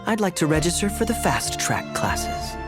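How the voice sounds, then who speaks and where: A suave young game character with lively, lilting intonation. He sounds sardonic, sly and teasing, with music playing behind him. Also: on the high side, moderate pace. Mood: sly, amused. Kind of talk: video game character acting. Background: background music.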